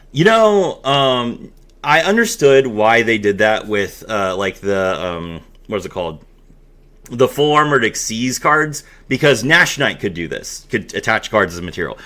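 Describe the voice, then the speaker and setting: A man hosting a dramatic reading mixed with excited reaction commentary, expressive and given to amazed exclamations. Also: lowish voice, unhurried. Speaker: host.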